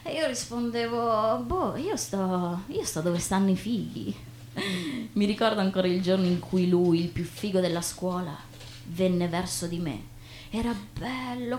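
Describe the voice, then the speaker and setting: A woman acting on stage as a chatty, naive young woman, expressive and colloquial. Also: medium pitch, lightly acted. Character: chatty, inquisitive, self-deprecating young woman, breezily naive. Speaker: stage actress. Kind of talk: theatrical monologue and dialogue. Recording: noticeable background noise with hum.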